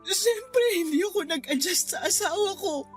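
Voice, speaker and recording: A woman's voice: very high-pitched, animated, normal speed, soft background music. A woman with a Filipino accent playing a hurt, anxious wife and mother in a radio drama, tearful and plaintive. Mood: worried, wounded. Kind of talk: Tagalog radio drama acting.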